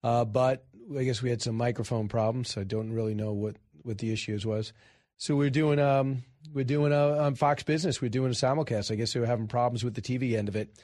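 A man's voice: medium pitch, fairly even intonation, fairly quick, a little mellow, no room sound, studio quality. An American man hosting live talk radio, giving rambling, conversational commentary and correcting himself as he goes. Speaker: radio show host. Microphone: close studio microphone.

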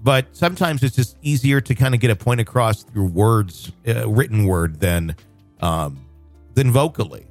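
A man's voice: lowish voice, lively intonation, moderate pace, faint music behind. A man hosting a casual podcast, rambling with fillers and restarts. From northwest Arkansas, USA. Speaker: podcast host.

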